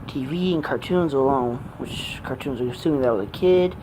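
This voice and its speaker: A man in his late twenties talks through an unscripted personal monologue at a middling pitch. His phrasing is halting and meandering, often breaking off. The amateur recording carries clear noise behind the voice. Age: late 20s. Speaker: amateur gaming vlogger.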